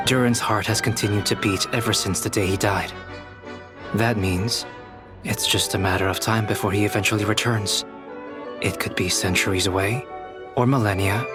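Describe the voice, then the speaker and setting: Low-voiced, composed young male alchemist played by an actor. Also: lightly acted. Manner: measured, precise, formal, matter-of-fact. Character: calm, analytical young male alchemist with quiet resolve. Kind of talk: scripted English game voice acting. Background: background music.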